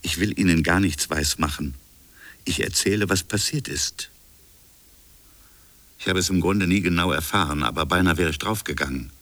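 Radio drama colonial official: low, brooding, insistent narrator of his own inquiry, close dry sound. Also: moderate pace, long pauses, fairly bright tone, no room sound. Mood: matter-of-fact, defensive. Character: colonial official recounting his stubborn private investigation. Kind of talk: radio drama dialogue and first-person narration.

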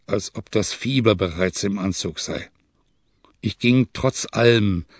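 A man narrating an adventure story in a measured, storytelling manner. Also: fairly low pitch, no room sound. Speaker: audiobook narrator.

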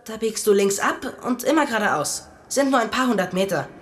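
An actress in a German radio drama playing a casual, plucky youngster with a matter-of-fact manner. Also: female voice, a little high, lively intonation, fairly quick, husky, faint music behind. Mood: casual, helpful.

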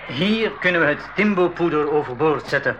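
Radio play expedition leader, male, rather high voice, confident and reassuring, muffled 1980s recording. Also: lively intonation, normal speed, a bit bright, slight background noise. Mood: assured. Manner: assured, explanatory. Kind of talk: 1980s school radio drama acting.

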